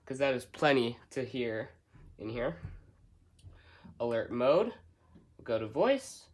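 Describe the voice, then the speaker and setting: A man doing an unscripted product walkthrough, halting as he thinks aloud step by step. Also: medium pitch, sing-song. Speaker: hobbyist product reviewer. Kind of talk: unscripted unboxing and setup walkthrough.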